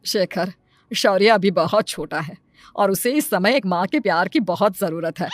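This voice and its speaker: A woman's voice: mid-range voice, animated, normal speed, no room sound, soft background music, natural voice. A woman voicing an insistent, persuasive elderly mother and grandmother in a cartoon story.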